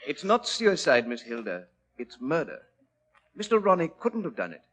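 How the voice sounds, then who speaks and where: An actor playing a loyal, grave English household servant with a husky voice, formal manner and old-time radio delivery. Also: mid-range voice, huge pitch swings, normal speed, a bit bright. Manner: formal, deferential.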